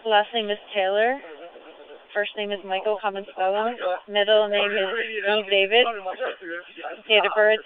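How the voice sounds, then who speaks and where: A thin, distant police radio transmission of a man with a high voice. He relays details in procedural radio style, very even in tone. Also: a little slow, narrow, tinny audio.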